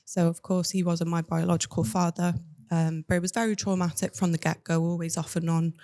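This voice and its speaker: A young woman with a Cardiff accent speaks in a fairly low voice. She tells her own story candidly and matter-of-factly, as an interview guest. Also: level tone, fairly quick, mellow. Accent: Welsh, Cardiff. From Cardiff, Wales. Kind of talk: personal life story in an interview.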